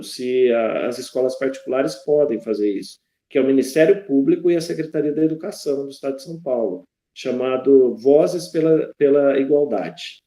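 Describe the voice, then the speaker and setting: Mellow Brazilian man in an echoey room. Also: mid-range voice. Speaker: history teacher, panel speaker. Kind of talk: informal panel talk. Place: indoors, speaking in an online live stream. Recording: roomy, echoey sound.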